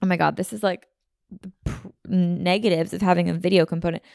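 A woman's voice: lowish voice, fairly even intonation, a little fast, vocal fry, darkish tone, dry recording, studio quality. A young American woman from the suburbs of Philadelphia hosting a podcast, rambling casually with lots of "like", a little sniffly from a runny nose. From Philadelphia suburbs, USA. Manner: rambling, heavy use of "like", self-interrupting. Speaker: podcast host. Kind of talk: casual chatty podcast monologue. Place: apartment room. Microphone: podcast mic on video.

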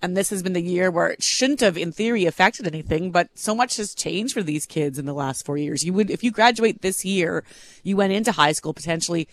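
Radio co-host guy, pretty high voice, chatty and curious. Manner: talkative, curious, laughs easily. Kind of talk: casual radio chat.

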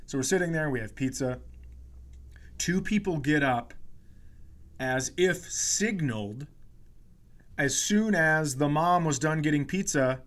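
Higher-voiced man, profane comic storyteller. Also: animated, unhurried, pauses often, a bit bright. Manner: profane, mock-indignant, acts out quoted dialogue. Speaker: podcast host and comedian. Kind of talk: casual comedic storytelling.

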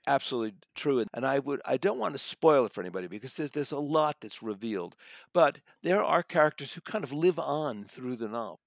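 A man's voice: medium pitch, lively intonation, brisk, vocal fry, dry recording, clean studio sound. A man reviewing books in conversation as a podcast guest, enthusiastic and considered in how he talks. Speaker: audiobook critic and podcast guest.

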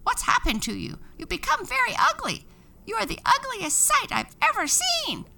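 A woman's voice: very high-pitched, wildly swooping pitch, moderate pace, gravelly, pushed and bright, distant mic, pitched above the performer's own voice, heavily acted. A woman voicing a small, frightened pet parrot that screeches in terror in a storybook reading. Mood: terrified.

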